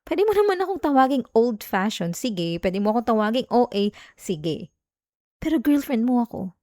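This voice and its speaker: A woman's voice: fairly high pitch, sing-song, moderate pace, a little mellow, no room sound, clean studio sound. A woman acting as a jealous, clingy young girlfriend in an intimate ASMR roleplay, with a Filipino accent. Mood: sulky, defensive.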